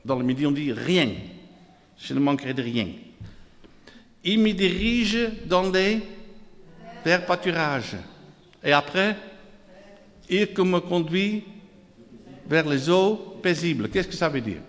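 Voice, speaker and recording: A man with a Dutch accent preaches in slow, deliberate French, his somewhat high voice ringing with a long reverb through the hall. He reads scripture aloud, repeats phrases in rhythmic lists and calls out to the congregation. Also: expressive, a bit bright. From Netherlands. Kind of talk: sermon.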